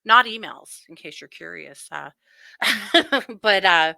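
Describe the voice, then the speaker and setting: Bright-voiced woman hosting, wry and casual. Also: medium pitch, expressive, slow pace, gravelly, no room sound. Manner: wry, mocking. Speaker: host. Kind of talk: casual true crime commentary.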